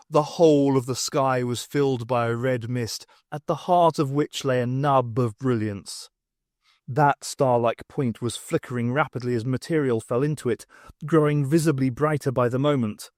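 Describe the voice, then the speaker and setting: A man reading a novel aloud as an audiobook, with expressive, dramatic narration. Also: mid-range voice, no room sound, clean studio sound. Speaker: audiobook reader. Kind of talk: scripted fiction narration with acted dialogue.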